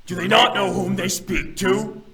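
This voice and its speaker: A man's voice: sits high, steady tone, a little slow, gravelly, distant mic, crowd noise. An actor playing a large, ruthless demon king in a visual novel, imperious, scornful and snarling. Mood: furious, imperious. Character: large, ruthless, arrogant male demon king, quick to anger. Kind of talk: visual novel game voice acting.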